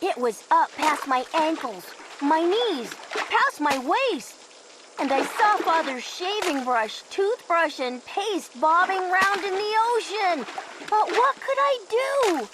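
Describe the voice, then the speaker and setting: A woman with a very high voice reads a children's story aloud. Her delivery is slow and dramatic, with lively swings in pitch. There is clear noise behind her. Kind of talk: expressive children's picture book read-aloud.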